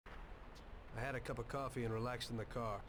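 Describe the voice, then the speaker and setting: A man's voice: mid-range voice, steady tone, normal speed, background noise, lightly acted. An actor playing a plain-spoken young cab driver, wryly telling his story in a crime game. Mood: reflective. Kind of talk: first-person story narration and game dialogue. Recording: loud music over the voice. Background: loud music.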